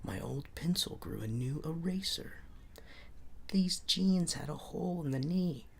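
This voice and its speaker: A man voicing excited, astonished young schoolchildren exclaiming in a storybook. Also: fairly high pitch, measured pace, creaky, slightly rough, pitched above the performer's own voice, exaggerated voice. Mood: amazed.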